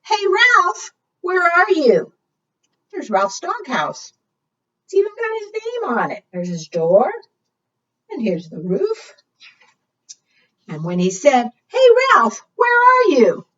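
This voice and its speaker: A woman's voice: sits high, wildly swooping pitch, slow, pauses often, fairly bright tone. A woman hosting a preschool storytime, reading aloud in a sing-song way and putting questions to her young listeners. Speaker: children's librarian and storytime host. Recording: dull, lo-fi sound, distant mic.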